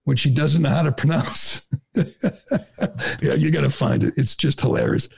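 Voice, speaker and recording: A middle-aged man holds forth as a talk radio host. His voice has a heavy gravelly fry and a husky edge. He speaks in a sarcastic, mocking tone, with lots of "uh" and self-corrections. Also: medium pitch, moderate pace. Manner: sarcastic, mocking, with frequent filler "uh" and restarts. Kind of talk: opinionated talk radio monologue.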